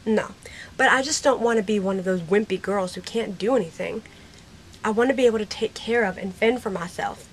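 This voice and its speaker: A woman's voice: mid-range voice, brisk, a little hiss or hum, close to an everyday voice, low-quality recording. A woman playing a stubborn, sassy, self-reliant teenage frontier girl in an amateur audio drama. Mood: breezy, defiant.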